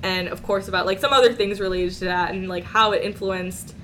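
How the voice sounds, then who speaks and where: Chatty female podcast host, mid-pitched, cheerful. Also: steady tone, brisk, a bit bright, a little hiss or hum, poor audio. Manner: upbeat, giggly, chatty. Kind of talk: casual podcast hosting. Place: home studio.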